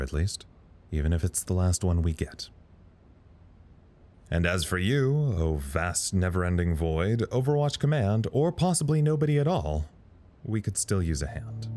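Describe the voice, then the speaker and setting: An actor playing a wryly philosophical staffer at a trapped research site, musing dryly in an audio drama log. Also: male voice, sits low, mellow. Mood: wry resolve. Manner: musing, dry, wry.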